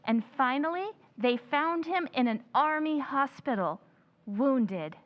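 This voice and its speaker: A woman's voice: high voice, lively intonation, takes it slowly. A woman playing a proper, easily shocked young lady, the eldest sister, in an old-time radio play with prim, dramatic delivery. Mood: prim, scandalized. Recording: dull lo-fi sound.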